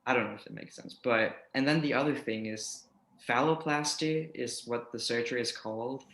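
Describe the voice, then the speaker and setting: A man's voice: mid-range voice, normal speed, roomy sound, poor audio. A man from Denmark, a native Danish speaker, answering interview questions frankly but hesitantly in English. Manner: hesitant, soft-spoken, frank. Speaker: podcast guest.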